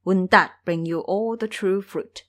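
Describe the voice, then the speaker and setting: Woman doing an older monk master guy, earnest n fretful, measured, tells off disciples, audio drama. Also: medium pitch, a bit bright, lightly acted. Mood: earnest, coaxing.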